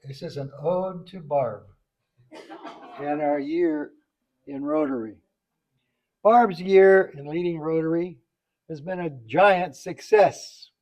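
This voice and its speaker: A man reads a rhyming tribute aloud in a mellow, slightly high voice. His delivery is slow and halting, with the odd stumble. The event microphone gives the sound a muffled edge. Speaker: club member reading a tribute poem at a banquet. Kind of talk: reading a rhyming poem aloud. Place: banquet event, speaking to a group.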